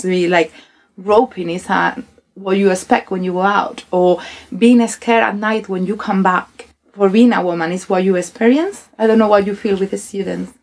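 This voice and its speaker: A woman with a Spanish accent, a university staff member, talking hesitantly in a podcast conversation with many fillers. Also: medium pitch.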